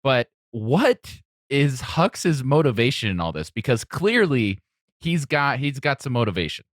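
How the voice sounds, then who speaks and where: A man hosting a podcast, putting questions to guests in a relaxed, conversational way. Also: mid-range voice, a little slow, no room sound, clean studio sound. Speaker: podcast host. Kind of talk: conversational interviewing.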